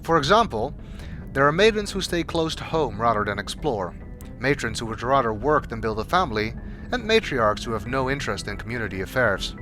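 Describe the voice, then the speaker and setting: A man delivers scripted, encyclopedia-style narration for a video game codex. His voice sits at a medium pitch and he reads in a measured, explanatory way. Music plays clearly behind him throughout. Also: sing-song. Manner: measured, expository reading. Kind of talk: scripted narration, read aloud. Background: clear background music.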